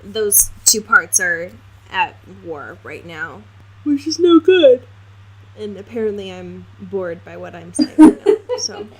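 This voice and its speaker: A woman's voice: mid-range voice, sing-song, slow, noisy background. A woman co-hosting a podcast, casually discussing a book in a wry, informal way. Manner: wry, informal, peppered with mild swearing. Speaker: podcast co-host.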